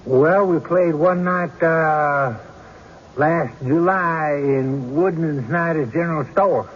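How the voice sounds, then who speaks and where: An actor playing a hesitant, grudging country card player on the witness stand, halting and folksy, in a radio drama. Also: male voice, on the high side. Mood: guarded. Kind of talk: 1970s radio drama acting. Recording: muffled, noisy old radio broadcast.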